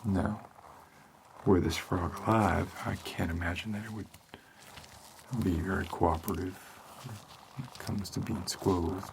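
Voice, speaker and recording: A man plays a weary homicide detective in a hushed ASMR monologue. His voice is low and gravelly, and he rambles in a deadpan way. There is clear noise behind him. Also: a little slow, long pauses. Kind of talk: slow ASMR roleplay monologue.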